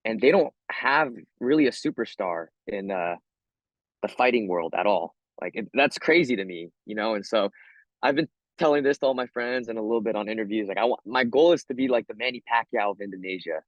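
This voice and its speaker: A man's voice: lowish voice, brisk, dry recording, highs cut off. An American man, a fighter being interviewed, chatting casually in slang and often repeating himself. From Hawaii, USA.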